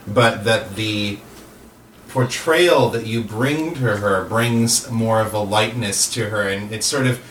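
A man hosting a casual round-table discussion, speaking in halting, thoughtful phrases on a roomy, distant recording. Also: fairly low pitch, a bit bright. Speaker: host of cast round-table. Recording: roomy, distant mic with faint noise.

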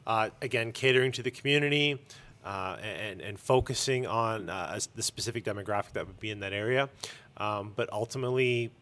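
Male, low-pitched. Small-business owner in a relaxed interview, deliberate phrasing with thoughtful pauses. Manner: measured, careful wording with pauses. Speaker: comic shop owner being interviewed. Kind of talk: casual conversational interview.

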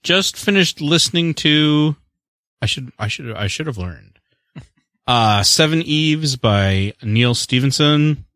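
A man's voice: a little high, animated, takes it slowly, fairly bright tone, dry recording, studio quality. A man co-hosting a podcast, chatting casually in a rambling, wry way about movies and books. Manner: rambling, wry, self-deprecating. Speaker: podcast co-host. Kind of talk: casual chat.

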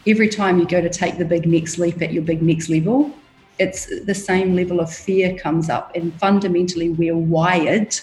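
A woman's voice: lowish voice, fairly even intonation, normal speed, darkish tone, faint music behind, poor audio. A middle-aged woman from New Zealand with a New Zealand accent, a leadership coach answering interview questions in an emphatic, frank, motivational way.

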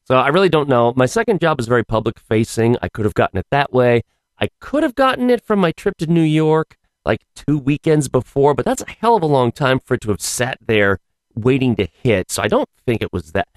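Hoarse man rambling through a podcast. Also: mid-range voice, very animated, fairly quick, no room sound. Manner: rambling, wry asides. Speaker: podcast host. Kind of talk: casual solo podcast chat.

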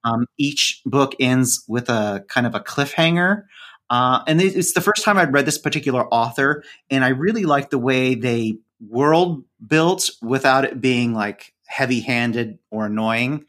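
A man speaking as a podcast guest in a conversational interview, enthusiastic, with measured phrasing and elaborate word choices. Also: mid-range voice, animated, fairly bright tone.

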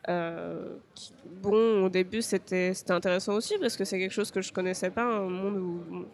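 A woman's voice: medium pitch, steady tone, moderate pace. A young woman from France, a podcast guest speaking hesitantly, with frequent fillers and restarts. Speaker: interview guest. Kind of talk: podcast interview.

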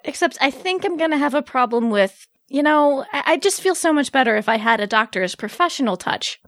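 A woman's voice: high-pitched, animated, brisk, dry recording, studio quality. A woman reading a smirking, impatient Valkyrie, sarcastic and teasing, in an amateur fan fiction table read.

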